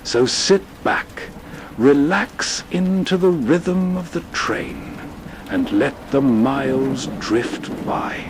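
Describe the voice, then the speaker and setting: A man giving a scripted late-night radio host introduction in a measured, soothing manner. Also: expressive. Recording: faint train rumble behind voice. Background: train ambience.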